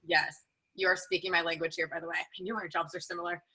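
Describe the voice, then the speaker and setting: A woman's voice: lowish voice, level tone, fast, pushed and bright. A woman moderating a career panel, upbeat and encouraging as she puts questions to the guests.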